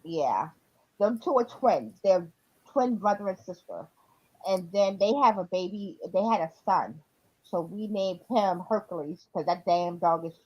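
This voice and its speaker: A woman in her forties chatting casually on a live stream, rambling and filling pauses with ums. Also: on the low side, animated, slightly rough, highs cut off. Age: 40s. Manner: rambling, many ums while listing. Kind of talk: casual chat.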